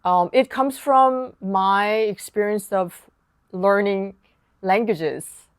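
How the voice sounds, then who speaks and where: A woman whose first language is Korean, a piano teacher explaining practice tips in a calm, casual lesson. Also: mid-range voice, takes it slowly, no echo, clean studio sound. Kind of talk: online lesson talk.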